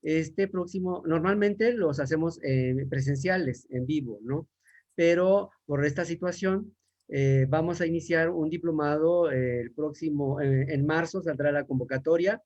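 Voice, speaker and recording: A man's voice: on the high side, a little slow, darkish tone. A man from Mexico, a university professor, answering questions in an online talk with frequent fillers and restarts. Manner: hesitant, many fillers and restarts. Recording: dull lo-fi sound.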